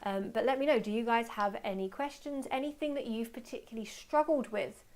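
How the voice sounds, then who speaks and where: A woman giving an informal live webinar as a workshop presenter, chatting away in an excitable, self-deprecating manner and taking audience questions. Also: a little high, brisk. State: voice slightly going from talking.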